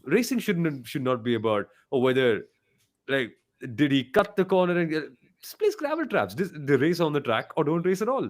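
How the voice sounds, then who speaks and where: A man's voice: fairly high pitch, sing-song, normal speed. A man giving blunt, emphatic opinions as a panelist on a motorsport podcast, often repeating himself for emphasis.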